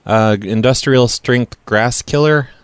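A man's voice: mid-range voice, slow pace, clean tone, dry recording, close to an everyday voice. A man playing a blundering, casually unapologetic lawn care worker on a prank call, hesitant but blunt in the way he talks. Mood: nonchalant. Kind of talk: improvised prank phone call.

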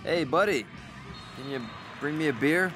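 An actor playing a middle-aged single father in a video game, a tired beer-drinking dad with a gruff, weary voice and a drawling, half-teasing manner that turns snappish. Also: a little high, normal speed, over music, lightly acted, rough recording. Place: living room in a house, game scene.

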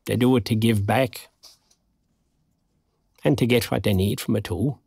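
Older irish retired fella, creaky voice, wry and chatty, audio drama, dry sound. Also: male voice, on the low side, unhurried, darkish tone, no room sound, exaggerated voice. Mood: amused gossip. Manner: colloquial, wry. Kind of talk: naturalistic audio drama dialogue.

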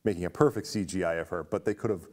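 A man in his thirties hosts a casual movie discussion in an indoor studio. His voice sits fairly low, and he talks at length with enthusiasm. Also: racing, a little mellow. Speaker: host of a film discussion show. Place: indoor studio stage with curtain.

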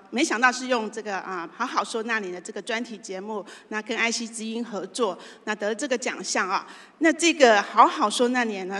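A middle-aged woman with a Taiwanese Mandarin accent giving an acceptance speech as an award winner, often ending phrases with soft particles. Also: mid-range voice. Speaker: award winner, media executive. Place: large indoor theatre stage. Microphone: handheld microphone. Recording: reverberant theatre stage sound.

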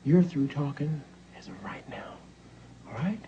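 Temperamental rock star, American accent, high voice, blunt, film comedy, noisy background. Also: male voice, expressive, brisk, fairly bright tone, dull audio. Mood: stern. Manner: petulant, blunt, insistent. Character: temperamental, demanding male rock star on tour. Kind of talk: comic film acting. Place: small crowded backstage dressing room.

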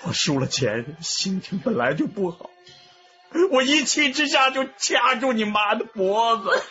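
An anguished, high-voiced guilty father. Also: big pitch swings, a little slow, pushed and bright, muffled recording, faint music behind, heavily acted. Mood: bitter confession. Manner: anguished, pleading. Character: guilt-ridden gambler father confessing to killing his wife. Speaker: actor in a radio drama. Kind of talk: emotional radio drama acting.

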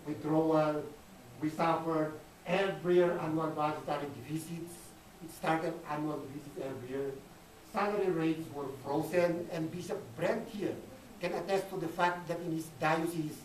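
A man with a Filipino accent giving a live church conference presentation, emphatic and repeating his phrases. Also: a little high. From Philippines. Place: dim indoor conference room. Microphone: camera in the audience, at a distance. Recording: roomy, distant camera mic with noise.

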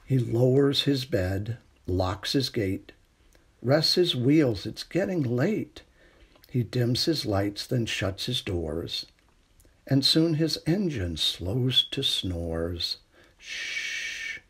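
A middle-aged man reading a children's picture book aloud in a gentle, unhurried bedtime manner, with a husky, creaky voice. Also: medium pitch, expressive. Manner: gentle, unhurried bedtime delivery with hushed refrains. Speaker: storytime reader.

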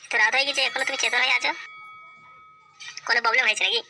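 Woman voicing a high, fast, fussy cartoon villager. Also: fairly even intonation, background noise, natural voice. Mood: worried. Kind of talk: comedy cartoon dialogue.

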